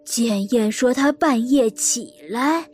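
A woman's voice: a little high, slow, darkish tone, husky, soft background music. An actress playing a polite, guarded elderly lady in an audio drama, asking careful, hesitant questions. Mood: cautious.

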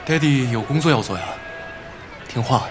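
A man's voice: mid-range voice, lively intonation, normal speed, close to an everyday voice. Coaxing father played by a male actor, music behind. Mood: fond, coaxing. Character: father, adult man talking fondly to his small child. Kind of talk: modern Chinese radio drama acting.

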